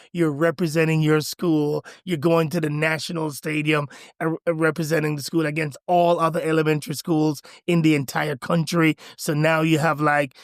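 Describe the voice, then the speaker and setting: A man's voice: fairly high pitch, no echo, clean studio sound. A middle-aged man from Jamaica, a pastor telling stories with energy as a podcast guest. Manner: enthusiastic, animated storyteller. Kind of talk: podcast interview conversation.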